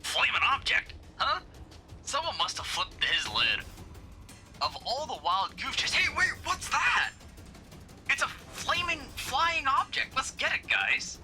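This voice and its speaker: A man playing jumpy working-class everymen, cabbies and cops, in a colloquial, excitable comic-book drama. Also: extremely high pitch, a little slow, exaggerated voice. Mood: amazed, excited. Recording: music behind the voice.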